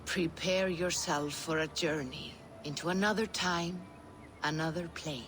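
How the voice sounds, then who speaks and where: An actress playing a solemn mystic seeress in a video game scene, with a measured, incantatory delivery. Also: female voice, deep voice, fairly even intonation, background noise. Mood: solemn, mysterious. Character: solemn mystic seeress brewing a vision elixir. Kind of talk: video game cutscene acting.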